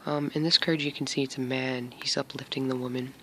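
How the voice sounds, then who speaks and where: A man's voice: medium pitch, little pitch movement, fairly quick, a bit bright, slight background noise. A man giving a casual tarot reading to his viewers. He talks hesitantly with many fillers and often drops to a whisper. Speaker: tarot reader.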